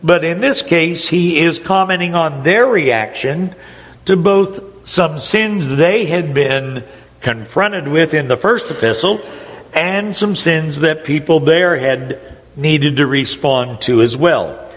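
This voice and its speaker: A man giving a sermon to his congregation, his voice a little hoarse, speaking conversationally with frequent pauses and fillers. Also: fairly high pitch, expressive, long reverb tail, highs cut off. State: slightly hoarse. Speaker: preacher. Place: church sanctuary.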